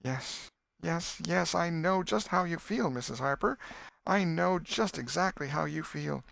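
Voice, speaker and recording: A man's voice: a little high, measured pace, creaky, a bit bright, no room sound, voice pushed up, full character voice. A man voicing an elderly aunt in an audiobook, fond, scolding and grieving, with a folksy, plaintive manner. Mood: tearful, plaintive. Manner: folksy, plaintive, repeating herself in lament.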